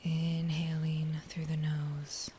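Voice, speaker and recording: A woman guiding a body scan meditation in a soft, breathy near-whisper, with a gentle, soothing manner and unhurried phrases. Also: low voice, completely flat delivery. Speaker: meditation guide. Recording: faint background noise.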